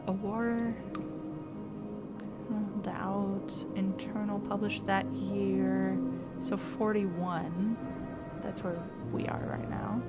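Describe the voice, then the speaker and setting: A woman's voice: medium pitch, steady tone, drawn-out words, long pauses. A woman streamer playing a game, thinking aloud and reading its text to viewers in casual commentary. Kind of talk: let's play commentary. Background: game music.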